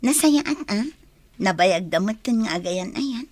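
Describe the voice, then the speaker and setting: A woman's voice: mid-range voice, animated, measured pace, no echo. A woman with an Ilocano accent playing a young, resilient, dutiful village daughter in a radio drama. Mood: composed.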